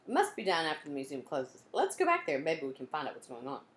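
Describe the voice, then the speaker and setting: A woman's voice: sits low, huge pitch swings, quick pace, a bit bright, roomy sound, exaggerated voice. A woman voicing a bold, eager young girl detective in a children's storybook, speaking emphatically. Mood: determined. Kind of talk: children's storybook character dialogue.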